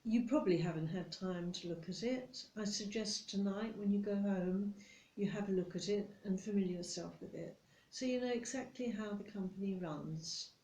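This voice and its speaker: A British woman playing a stern, condescending, nitpicking office boss in a deliberate ASMR roleplay, speaking straight to the listener. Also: medium pitch, dark tone, slight background noise, lightly acted. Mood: stern, disapproving. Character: strict, nitpicking female office boss telling off a new employee. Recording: roomy echo.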